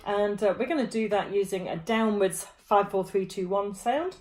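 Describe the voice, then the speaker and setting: A woman, teaching a vocal warm-up to camera from a small room at home, friendly and demonstrative. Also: mid-range voice, normal speed, poor audio. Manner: friendly, demonstrative, touching throat and jaw while explaining. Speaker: choir vocal coach.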